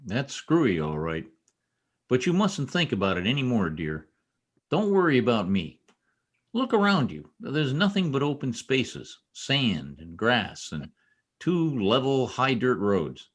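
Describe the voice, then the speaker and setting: A man in an old-time radio play, acting a protective, brusque young state trooper with a gruff, commanding manner. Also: medium pitch, big pitch swings, a little slow. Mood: protective, dismissive. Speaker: amateur radio play actor. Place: home, over a video call.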